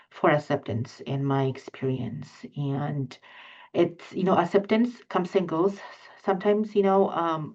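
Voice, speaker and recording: A woman with a Mexican accent speaks in a low voice, earnest and halting, full of fillers and restarts. Her audio is dull and lo-fi, like a video call. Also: darkish tone. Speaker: panelist. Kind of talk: live panel discussion.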